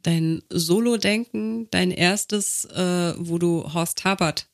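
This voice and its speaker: Casting: male podcast interviewer, high register with creak, conversational. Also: takes it slowly. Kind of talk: casual interview chat.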